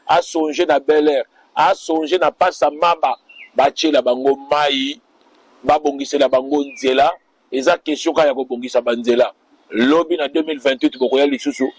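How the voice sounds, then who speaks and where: Husky man giving a campaign-style interview. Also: medium pitch, a little mellow, rough recording. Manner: speechlike, addressing the public. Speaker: political party official. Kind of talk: interview answers.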